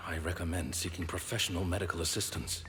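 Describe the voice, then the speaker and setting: A man voicing a gruff, restrained companion in a dramatic video game. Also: sits low, quick pace, lightly acted. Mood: grave concern. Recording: music behind the voice.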